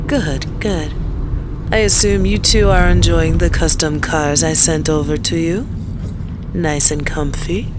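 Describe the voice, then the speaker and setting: Deep, slow, gracious crime matriarch woman acting. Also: fairly even intonation, noisy background. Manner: measured, doting, formal. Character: gracious, motherly, commanding crime matriarch, a Madame. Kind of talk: audio roleplay acting.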